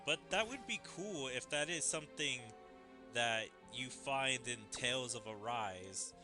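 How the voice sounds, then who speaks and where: A man's voice: mid-range voice, animated, measured pace, music in the background. A man giving casual, musing commentary as he plays a story game. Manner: musing, speculative. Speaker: gaming video creator playing a story event.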